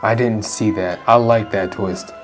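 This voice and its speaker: A woman with a remarkably deep voice chatting casually and bluntly over a game, tossing in playful asides. Game music plays faintly under her talk. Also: steady tone, unhurried. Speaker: gameplay commentator. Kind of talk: casual reaction commentary.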